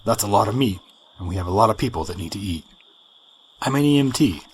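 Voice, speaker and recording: An actor playing a blunt, practical male EMT who stays calm. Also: on the low side, fast, slight background noise.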